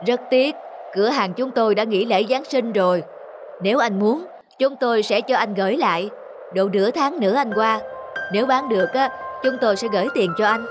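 A man plays an older cartoon shopkeeper, courteous and practical. His delivery is matter-of-fact, and music sits clearly behind the voice. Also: high-pitched, moderate pace, close to an everyday voice. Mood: apologetic, practical. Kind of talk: cartoon drama dubbing.

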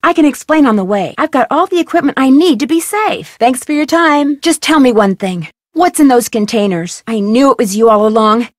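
A woman's voice: high-pitched, animated, normal speed, hardly any pauses, dry recording, studio quality. A woman voicing a curious young female detective in a video game, asking questions in a polite, earnest way. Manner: inquisitive, bright and earnest. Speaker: voice actor for a game heroine.

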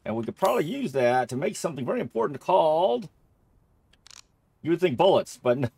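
A man's voice: on the high side, sing-song, fairly quick, gravelly, darkish tone, husky, dry recording. A man giving casual video game playthrough commentary, jokey and thinking aloud as he goes.

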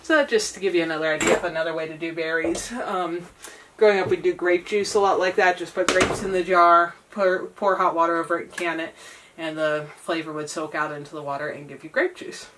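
Low-voiced American woman, recorded at a distance. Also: fairly even intonation, brisk. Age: 30s. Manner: chatty, informal, rambling asides. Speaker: vlogger demonstrating home canning. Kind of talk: casual kitchen demonstration. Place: small home kitchen. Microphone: camera a few feet away. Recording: distant camera mic, clearly noisy room.

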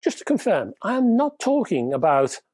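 A man's voice: high-pitched, sing-song, moderate pace, a little mellow, no room sound. Stuffy-nosed man in his fifties, casual wry reviewer, dry close mic. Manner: chatty, wry, emphatic, leans on key words. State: stuffy nose, wheezy from a cold. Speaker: hi-fi reviewer, channel host. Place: home room lined with record shelves. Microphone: lapel mic.

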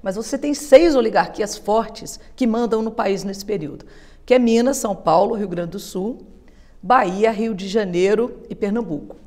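A woman's voice: mid-range voice, normal speed. Woman, 50s, historian guest; fluent, explanatory; lapel mic, studio reverb. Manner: steady, fluent, explanatory, with frequent tag questions. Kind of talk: explanatory interview answers. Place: television studio.

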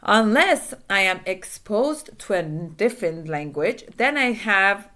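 A woman's voice: medium pitch, expressive, slow pace, pushed and bright. Earnest woman, native Farsi speaker. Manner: earnest, repeats phrases, gestures a lot. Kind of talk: self-help talk to camera. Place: small indoor room.

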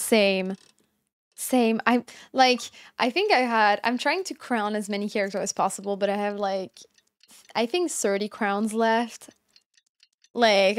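A woman in her twenties, a game streamer, chatting casually while she plays. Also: fairly high pitch. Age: 20s. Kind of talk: casual live chat while gaming. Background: game music.

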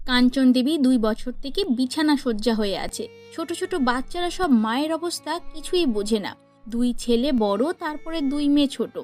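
A woman's voice: high-pitched, animated, normal speed, over music. A woman narrator reading a scripted cartoon story.

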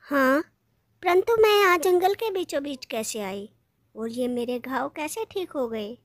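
A woman's voice: high voice, lively intonation, unhurried, dry recording. A woman voicing a kind, earnest young mermaid in a fairy tale. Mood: puzzled.

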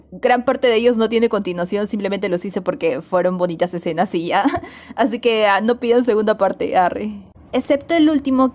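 A woman with a Latin American Spanish accent chatting casually as a channel host. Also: mid-range voice. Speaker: channel host and comic creator. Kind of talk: casual video intro and outro.

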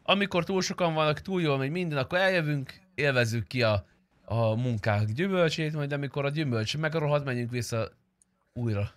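A man's voice: a little high, dry recording. A man chatting casually and crudely with friends while streaming a roleplay game. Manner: crude, bantering.

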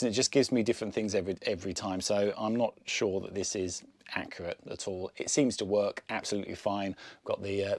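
A man's voice: lowish voice, darkish tone, no room sound. A man in his fifties giving a casual, informal explanation to camera on a lapel mic, thinking aloud as he goes. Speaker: sailing vlogger.

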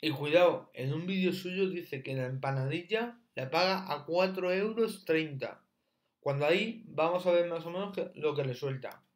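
A young man hosting a reaction video, mocking with loud repeated exclamations as he comments on clips from his room. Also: on the high side, moderate pace.

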